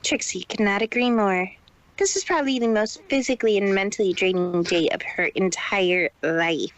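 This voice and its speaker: A woman's voice: medium pitch, normal speed, no echo, natural voice, poor audio. A woman voicing a boastful, proud show-off pony mare who talks grandly about herself in a fan fiction reading. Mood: proud, tired. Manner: refers to herself in the third person, haughty.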